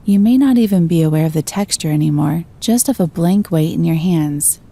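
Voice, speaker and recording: A woman gently guiding a meditation, giving slow, soothing instructions. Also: low voice, animated. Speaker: meditation guide. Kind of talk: guided meditation.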